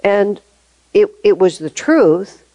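An older woman giving a thoughtful, measured spiritual talk, often restarting her sentences. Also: mid-range voice, lively intonation, mellow, no echo. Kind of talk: reflective spoken talk.

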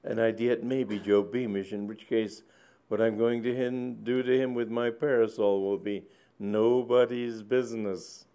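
A man's voice: fairly low pitch, flat delivery, moderate pace, dark tone, clear, resonant tone, lightly acted. A man putting on a bold, eager young woman. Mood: determined.

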